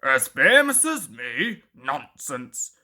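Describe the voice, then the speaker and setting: A boastful storybook steam engine played by a woman reader, male and grumpy. The voice drops well below the reader's own and grumbles along slowly with great self-importance. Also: sits low, very animated, sharp tone, voice pushed down, heavily acted. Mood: disdainful. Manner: pompous, grumbling.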